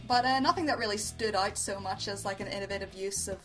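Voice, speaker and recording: A woman's voice: mid-range voice, quick pace, music in the background, rough recording. A woman co-hosting a casual review show, speaking in a gushing, repetitive way that often trails off. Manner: gushing, repeats herself, trails off. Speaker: co-host of an amateur review show. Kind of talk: casual unscripted review chat.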